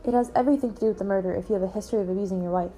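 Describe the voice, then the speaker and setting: A woman's voice: mid-range voice, racing, mellow, soft background music, natural voice, rough recording. A woman playing a level-headed, matter-of-fact investigator who keeps firm order in a puppet-show murder mystery.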